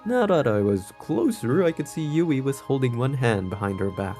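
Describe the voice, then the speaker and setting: A man's voice: medium pitch, big pitch swings, normal speed, mellow, faint music behind. A man streaming a visual novel, reading the narration aloud expressively with playful interjections. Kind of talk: expressive read-aloud narration with live reactions.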